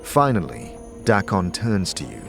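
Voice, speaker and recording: A man's voice: sits low, huge pitch swings, measured pace, vocal fry, music in the background. A man giving theatrical, second-person scripted narration for a fantasy audio drama. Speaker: narrator and lead player.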